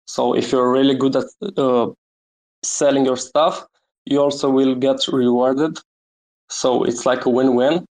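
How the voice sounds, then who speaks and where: Romanian man, live-chat guest, flat, halting delivery full of ums. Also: mid-range voice, rough recording. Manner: hesitant, many fillers, laughs often. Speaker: guest NFT creator. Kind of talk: casual chat on a Twitter space.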